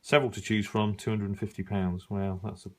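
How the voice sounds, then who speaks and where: A middle-aged man giving a casual, unscripted review, musing and halting as he thinks aloud while browsing. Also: fairly low pitch, flat delivery. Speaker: radio hobbyist reviewing an old magazine.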